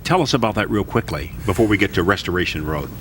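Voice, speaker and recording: Deep-voiced man hosting a faith talk show. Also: expressive, quick pace, noisy background. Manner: earnest, warmly enthusiastic questioning. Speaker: television host.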